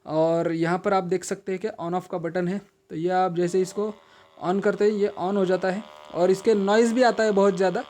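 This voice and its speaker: A man's voice: high voice, warm tone. A man with an Indian accent giving a casual, hesitant unboxing explanation as a video host, instructing and repeating his reminders. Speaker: video host and product reviewer.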